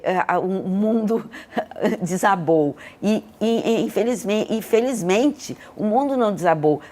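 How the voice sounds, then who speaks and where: A woman's voice: lowish voice, darkish tone. An older Brazilian woman telling personal stories as an interview guest, speaking in an earnest, emotive way. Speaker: interview guest, hospital leader. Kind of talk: reflective personal storytelling in an interview.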